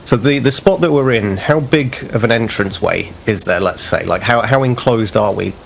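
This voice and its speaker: A man with a somewhat low, gravelly voice plays a tabletop game, thinking aloud and asking the game master questions. Faint noise runs behind him. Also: moderate pace. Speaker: player. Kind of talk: casual tabletop play.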